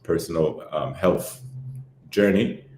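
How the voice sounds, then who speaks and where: A man in his thirties from Barbados, a podcast guest telling his life story in a relaxed, rambling way with lots of fillers. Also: deep voice, takes it slowly, echoey room, a little hiss or hum. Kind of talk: casual interview chat.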